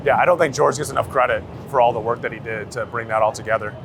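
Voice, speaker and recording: A man gives a casual outdoor interview as a street photographer. His mid-range voice has a gravelly creak, and his wording is loose and slangy. Faint city street noise sits around him. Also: mid-range voice, fairly even intonation, quick pace.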